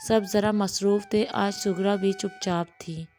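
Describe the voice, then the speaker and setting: A woman narrates a scripted audio story. Her pitch sits in the middle for a woman and her delivery is slow and measured. Light music plays behind her. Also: steady tone, darkish tone. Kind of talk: scripted audio storytelling in Urdu with acted dialogue.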